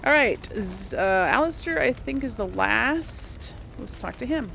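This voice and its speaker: A woman's voice: on the high side, animated, measured pace, vocal fry, pushed and bright, slight background noise. A woman doing casual let's play commentary, musing aloud and hesitant as she decides things. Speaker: gaming video host.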